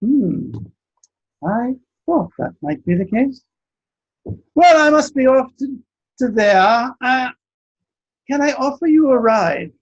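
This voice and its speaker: A man storyteller voicing a gentle old wise man who speaks calmly and politely. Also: extremely high pitch, takes it slowly, pauses often, husky, highs cut off, pitched above the performer's own voice, heavily acted. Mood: mild. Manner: calm, ponderous, polite.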